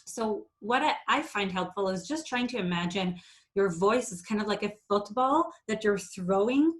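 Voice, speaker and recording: Woman from israel, hebrew native, coaching public speaking, clear and deliberate, middle pitch. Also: animated. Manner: clear, deliberate, exaggerates words to demonstrate. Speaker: presentation skills coach. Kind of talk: instructional talk.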